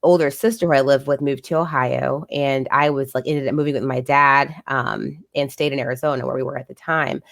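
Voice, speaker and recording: A woman, a physician assistant and children's author, talking reflectively in a casual interview with many pauses and fillers. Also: a bass voice, fairly even intonation, vocal fry, fairly bright tone, no room sound, clean studio sound.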